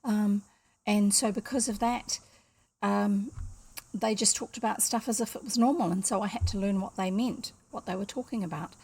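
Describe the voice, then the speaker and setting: A woman's voice: mid-range voice, fairly even intonation, moderate pace, a little mellow, breathy edge, poor audio. An older woman with a New Zealand accent reads a storybook aloud at her home desk, chatty and rambling, stopping often to explain things. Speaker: storyteller reading books aloud. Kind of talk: casual read-aloud with chatty asides.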